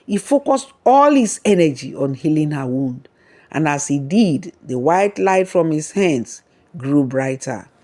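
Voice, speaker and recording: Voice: mid-range voice, lively intonation, measured pace, darkish tone. A storyteller reading a scripted folktale narration.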